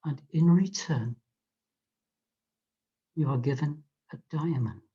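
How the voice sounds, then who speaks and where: Super slow soft-spoken meditation guide, lots of whispering, repeats words gently, muffled audio. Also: mid-range voice, breathy edge. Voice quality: soft, frequently whispered delivery. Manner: slow, gentle, drawn-out phrasing with soothing repetitions. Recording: dull lo-fi recording.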